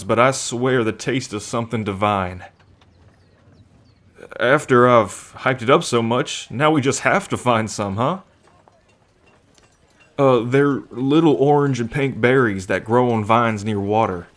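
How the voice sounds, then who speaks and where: A man's voice: mid-range voice, a bit bright, close to an everyday voice. A man playing a friendly, earnest half-bull man in an ASMR roleplay, talking in a chatty, folksy way. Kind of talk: ASMR roleplay, acted speech to the listener.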